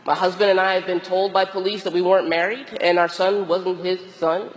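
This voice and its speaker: A hoarse, tired woman priest with a cold gives a slow, deliberate sermon with long pauses. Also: fairly low pitch, steady tone, in a large hall, poor audio. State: hoarse, losing her voice from a cold; exhausted. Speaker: Episcopal priest. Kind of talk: reflective sermon.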